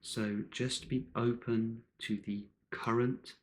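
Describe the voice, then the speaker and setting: A man leading a guided meditation in calm, measured short phrases with long pauses between them. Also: mid-range voice.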